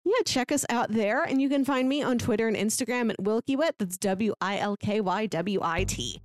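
A woman's voice: a little high, normal speed, dry recording, clean studio sound. A woman hosting a podcast in a casual, chatty style. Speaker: podcast host.

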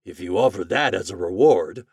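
A man's voice: low voice, lively intonation, moderate pace, darkish tone, husky, no echo, studio quality, far from the mic, heavily acted. A man voicing a poor, lovelorn glassblower in a storybook, plaintive and earnest. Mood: eager.

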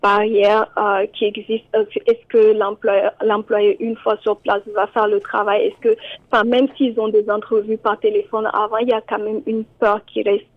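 A woman's voice: medium pitch, little pitch movement, normal speed, dry recording. A woman immigration columnist, speaking in a radio interview and hesitating with many fillers and repeated words. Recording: tinny phone line, very dry.

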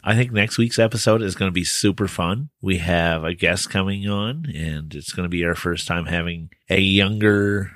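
A man hosting a podcast, his voice a little raspy, chatting casually with fillers. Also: deep voice, normal speed, hardly any pauses, no echo, studio quality. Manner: relaxed, rambling with fillers. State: slightly raspy voice. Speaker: podcast host.

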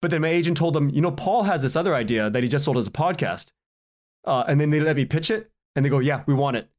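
A man's voice: a little high, animated, fast talker. A man telling stories casually as a podcast guest, chatty and acting out the dialogue he quotes. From Canada. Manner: chatty, acts out quoted dialogue, chuckles while talking. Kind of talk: animated casual storytelling in an interview.

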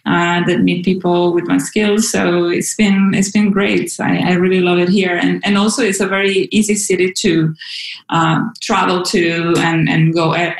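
Venezuelan lady on a video call, lots of ums and restarts, echoey room. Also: fairly low pitch. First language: Spanish. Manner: hesitant, many ums and restarts, laughs often. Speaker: guest. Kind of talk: casual remote interview.

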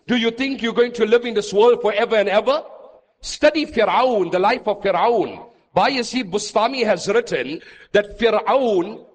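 A man with a high-pitched voice rings out in a long, echoing hall. He preaches an Islamic sermon with dramatic emphasis, leaning on repetition and rhetorical questions in an impassioned delivery. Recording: long reverberant hall echo.

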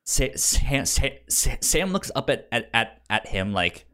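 Male podcast co-host, medium pitch, loose conversational delivery, halting with repeated word starts. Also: big pitch swings, sharp tone. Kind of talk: casual film discussion.

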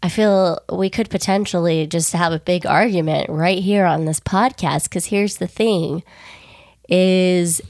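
Young woman, slow unhurried talk, slightly low voice, soft-spoken, getting over a cold, dry room. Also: no echo. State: recovering from a cold. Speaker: podcast co-host. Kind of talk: casual podcast chat.

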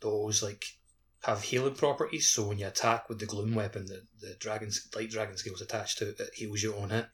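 A man with a fairly low voice talks on a gaming podcast. He offers tips informally, in the easy conversational style of a co-host among friends. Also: steady tone, a little fast, a bit bright. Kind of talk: casual gaming podcast chat.

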